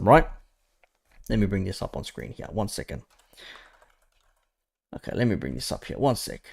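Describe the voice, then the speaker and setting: A man's voice: mid-range voice, a little mellow. A man, chatting casually and bluntly about football to his viewers. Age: 30s. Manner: blunt, sweary, mocking. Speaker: football streamer. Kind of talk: casual live chat.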